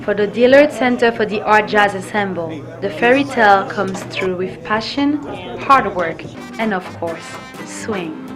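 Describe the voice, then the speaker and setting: A woman's voice: mid-range voice, measured pace, over music, crowd noise. A woman reporter reading scripted documentary narration.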